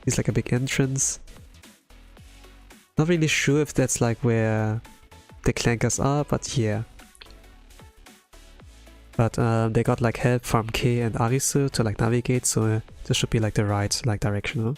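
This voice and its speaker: Rambling, halting male streamer. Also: mid-range voice, steady tone, darkish tone. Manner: rambling, halting, heavy use of filler "like". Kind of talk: casual readalong commentary on a game story. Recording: music under the voice. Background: game music.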